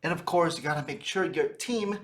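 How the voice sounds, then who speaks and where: A man's voice: on the high side, fairly quick, a bit bright. A man giving an informal instructional talk as a trainer, conversational and often checking in with a tagged-on "right?". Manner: conversational, frequently tags phrases with "right?". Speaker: sales trainer for funeral businesses.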